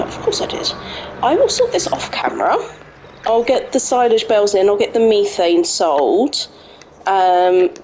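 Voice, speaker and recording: A woman hosting a farming game let's-play in casual running commentary, her voice a little croaky, chatting to herself as she works. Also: fairly high pitch, a little mellow, a little hiss or hum, poor audio. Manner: chatty, talks to herself while playing. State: slightly croaky voice. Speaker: gaming channel host. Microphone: home desk microphone.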